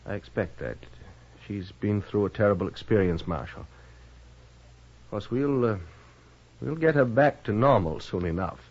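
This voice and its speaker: A man's voice: fairly low pitch, lively intonation, a little slow, pauses often, a little mellow. A man with an American accent playing a haughty, intolerant Eastern husband in a radio western, with a stiff, pompous manner. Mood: stiff, condescending. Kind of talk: 1950s radio western acting. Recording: muffled vintage radio recording.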